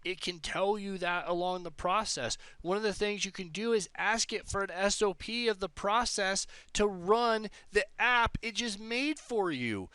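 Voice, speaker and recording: A man's voice: high voice, bright, forceful tone, clear, resonant tone, no room sound. A man giving a casual explanatory monologue as a tech presenter, enthusiastic and emphatic, often repeating phrases to drive points home. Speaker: presenter of a tech demo video.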